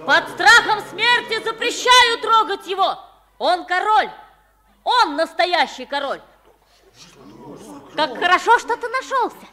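Boy pauper posing as king: high, bright, earnest, theatrical radio drama delivery. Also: expressive, takes it slowly, long pauses, exaggerated voice. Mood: excited, earnest. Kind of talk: Soviet radio play acting.

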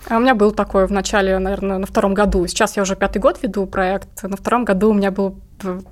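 A woman's voice: medium pitch, little pitch movement, fast. Woman illustrator chatting in an interview. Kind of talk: casual interview talk about own work.

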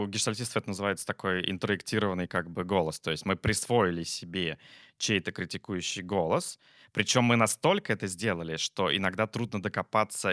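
A man's voice: deep voice, a bit bright, no room sound, studio quality. A man co-hosting a talk as a psychologist, chatting casually and reflectively, often searching for words. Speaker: psychologist and talk show co-host.

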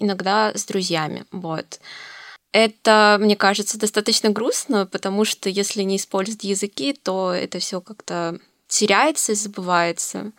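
A young adult woman talking as a podcast guest in a casual chat. She is soft-spoken and her phrases often trail off quietly. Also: mid-range voice, dry recording, clean studio sound.

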